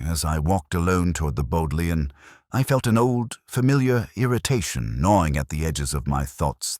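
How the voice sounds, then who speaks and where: A man playing an introspective older police detective, narrating a mystery audio drama from his notebook in a measured, literary way. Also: deep voice, no room sound, studio quality. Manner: brooding, measured, literary delivery.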